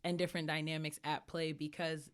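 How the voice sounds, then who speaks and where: A woman's voice: low voice, a little fast, smooth. A young woman from New York City, a guest talking earnestly in a casual interview, often slipping in quoted asides. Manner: earnest, animated, speaks in quoted asides and filler "like".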